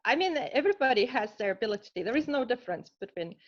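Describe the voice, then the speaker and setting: A woman from Lithuania speaks with a Lithuanian accent and a fairly high voice. She answers interview questions earnestly, often pausing on fillers. The audio is dull and lo-fi. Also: big pitch swings. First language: Russian. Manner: earnest, many hesitations. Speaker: scientist guest.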